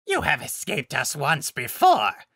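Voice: medium pitch, wildly swooping pitch, unhurried, fairly bright tone, slightly rough, no echo, clean studio sound, voice pushed up, heavily acted. A narrator voicing a fierce, proud male werewolf pack alpha in a fantasy story, with a barking, menacing, blustering manner. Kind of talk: audiobook-style fantasy story character.

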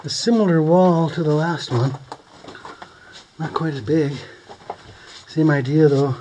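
A man with a fairly high, mellow voice talks casually as he hikes through desert canyons and ducks into narrow mine tunnels. He is relaxed and chatty, pointing things out as he goes, with a faint hiss behind him. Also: measured pace. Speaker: mine explorer vlogger. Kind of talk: casual narration while hiking.

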